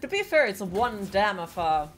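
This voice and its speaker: A woman's voice: medium pitch, very animated, normal speed, slight background noise. A young woman with a Finnish accent, chatting playfully with her viewers while streaming a game from a small room at home, swearing casually. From Finland. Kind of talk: casual chat with chat while gaming. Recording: faint game music behind.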